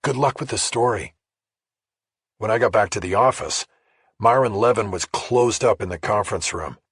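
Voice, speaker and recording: A man's voice: fairly low pitch, a bit bright, husky, no room sound, clean studio sound. A man reading a first-person crime thriller audiobook as scripted narration.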